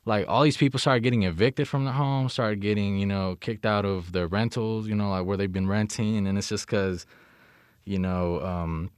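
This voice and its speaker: A man's voice: on the low side, steady tone, normal speed, clear, resonant tone, no echo, clean studio sound. A young American man in casual conversation, talking in an informal, slangy way and often checking in with the listener. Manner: informal, slangy, frequent "you know what I mean".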